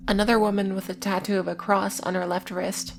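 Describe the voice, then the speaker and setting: A woman delivers scripted video essay narration with little rise and fall in her medium-pitched voice. She keeps it informal, slipping in wry asides as she recaps, and music plays underneath. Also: flat delivery, a little fast.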